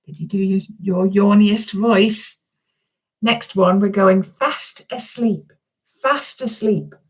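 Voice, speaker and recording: A woman's voice: medium pitch, takes it slowly, slightly rough. A woman reading a children's story aloud as its author, chatting expressively and speaking directly to her young listeners.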